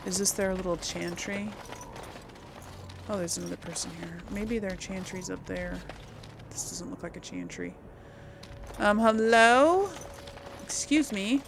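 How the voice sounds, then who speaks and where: A woman's voice: medium pitch, sing-song, measured pace, creaky, pauses often, noisy background. A woman doing casual let's play commentary, chatting and musing aloud as she plays. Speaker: game streamer, role-player. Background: game ambience.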